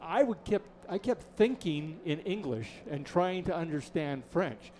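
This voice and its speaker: A man's voice: fairly high pitch, animated, a little slow, mellow, slight background noise. A man calling a baseball game, chatty and jokey, trading dad jokes with his booth partner. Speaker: play-by-play announcer. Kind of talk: baseball commentary.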